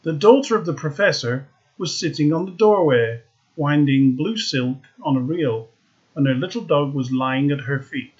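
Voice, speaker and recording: A man reading a fairy tale aloud, slow and expressive with dramatic emphasis. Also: fairly high pitch, muffled recording. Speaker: storyteller.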